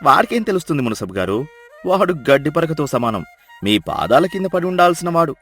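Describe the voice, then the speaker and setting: A man's voice: on the high side, very animated, brisk, a bit bright. A man playing an obsequious village healer and henchman who heaps exaggerated praise on his lord in a dramatised moral story. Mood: fawning, boastful. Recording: music behind the voice.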